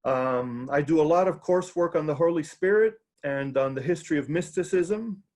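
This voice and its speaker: Middle aged guy on a webcam call from his home study, stumbly, lots of uhs, kinda high voice. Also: darkish tone. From South Florida, USA. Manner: halting, many fillers and restarts. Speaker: theology professor. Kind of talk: self-introduction on a video call. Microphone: video call webcam.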